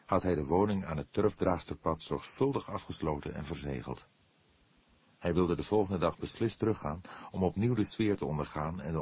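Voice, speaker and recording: A man's voice: deep voice, a little mellow, slightly rough, no echo. A man reading a detective novel as scripted audiobook narration.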